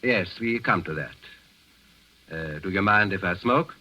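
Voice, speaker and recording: An actor playing a smug, menacing German district governor in a 1940s radio drama, brisk, formal and self-important. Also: male voice, sits low, expressive, sharp tone, exaggerated voice. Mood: formal, impatient. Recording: dull vintage radio broadcast.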